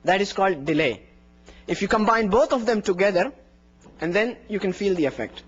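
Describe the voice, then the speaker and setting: A man's voice: high voice, lively intonation, a little fast, slightly rough, muffled recording. A young man teaching a workshop on giving the call to prayer, explaining and demonstrating with his own voice into a handheld microphone indoors. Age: young adult. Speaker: adhan instructor. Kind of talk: workshop lecture. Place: indoor room in front of a plain wall. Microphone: handheld microphone plus lapel mic.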